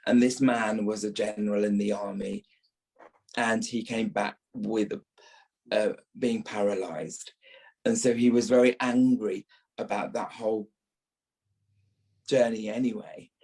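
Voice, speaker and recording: A man's voice: fairly low pitch, fairly even intonation, unhurried, vocal fry, pauses often, darkish tone. A man, an actor, talking reflectively as an interview guest, stressing his points by repeating words. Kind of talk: reflective podcast interview talk.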